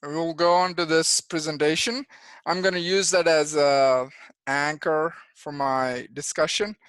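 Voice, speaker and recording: Indian guy on a video call explaining his job to kids, kinda high voice, dry sound, lots of uhs. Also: fairly even intonation, measured pace, fairly bright tone, clear, resonant tone, no room sound. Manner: simple explanations with frequent "uh" and restarts. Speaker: guest engineer and project manager. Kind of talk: presentation for young children.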